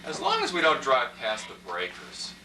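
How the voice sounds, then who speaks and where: A man's voice: medium pitch, wildly swooping pitch, normal speed, sharp tone, heavily acted. A man voicing an easygoing, slightly weary family dad in an amateur puppet show. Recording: echoey, noisy room from far off.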